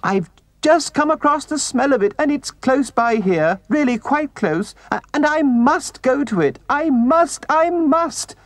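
A man voicing a small, timid, homesick male mole in a storybook, stammering and pleading anxiously in a high, strained voice. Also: animated, measured pace, dry recording. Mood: anguished pleading. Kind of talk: storybook character reading.

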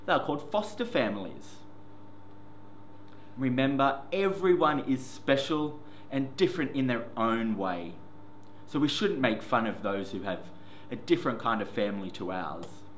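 A man hosts story time, reading a picture book to young children in an expressive, sing-song way. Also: medium pitch, measured pace. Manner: expressive, sing-song, addressing small children with simple words. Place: indoor classroom reading corner. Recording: roomy echo with faint background noise.

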